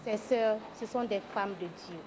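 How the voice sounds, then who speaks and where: Woman, mid-pitched voice, earnest testimonial, noisy background. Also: unhurried. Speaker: former pupil giving testimonial. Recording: clear background noise.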